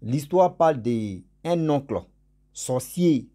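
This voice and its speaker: A man with a cold and a cough, telling a story to his followers in a casual spoken way. He repeats words for emphasis and swings between loud stressed words and endings that fade low. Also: lively intonation, slow. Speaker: storyteller for his channel's subscribers.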